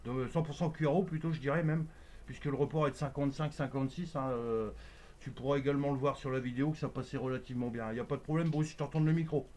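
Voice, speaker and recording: Fast-talking man on amateur radio. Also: medium pitch, steady tone, darkish tone, slight background noise. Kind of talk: casual radio contact chat with procedural jargon.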